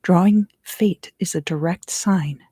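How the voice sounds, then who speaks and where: A woman giving an ASMR tarot reading in a hushed, measured delivery, drifting in and out of a whisper. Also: fairly low pitch, slightly rough, no echo. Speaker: tarot reader and ASMR host.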